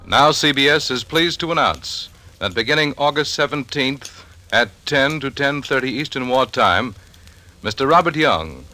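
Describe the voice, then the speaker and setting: A man's voice: medium pitch, bright, forceful tone. A man working as a network announcer, reading in a formal, projected 1940s radio style.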